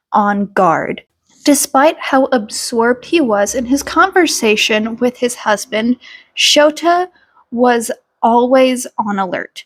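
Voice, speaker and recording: A woman's voice: on the high side, a little mellow. A woman reading a story aloud as scripted amateur audiobook narration. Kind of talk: scripted fan fiction narration.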